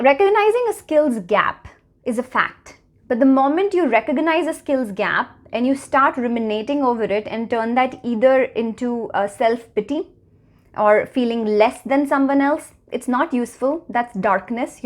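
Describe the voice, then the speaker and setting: A woman's voice: a little high, expressive. A woman in her thirties from India with an Indian accent, hosting her own channel and talking earnestly and reflectively to the camera. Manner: earnest, measured, slows to stress key phrases. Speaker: channel host. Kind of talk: reflective personal talk to camera.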